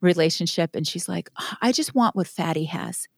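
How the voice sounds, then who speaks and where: A woman in her forties hosting a podcast interview, animated and enthusiastic. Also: on the low side, normal speed, vocal fry, no echo, studio quality. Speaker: podcast host. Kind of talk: casual interview.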